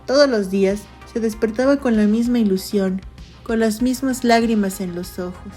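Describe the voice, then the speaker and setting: A woman's voice: on the high side, normal speed. A woman reading a scripted literary narration for an audio drama. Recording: music bed under voice. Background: background music.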